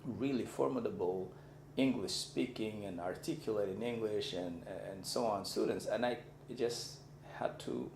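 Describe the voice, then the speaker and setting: Deep gravelly man lecturing. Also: a little slow, a little mellow. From Mozambique. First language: Xangana. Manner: thoughtful, searching for words. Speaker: university lecturer. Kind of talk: reflective personal lecture. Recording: echoey room with faint background noise.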